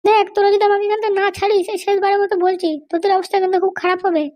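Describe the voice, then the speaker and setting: Woman voicing a squeaky, haughty cartoon lady boss. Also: level tone, very fast, a little mellow. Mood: indignant, threatening. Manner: bossy, indignant, scolding. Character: haughty, threatening female political boss held in jail. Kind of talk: Bengali comedy cartoon dialogue.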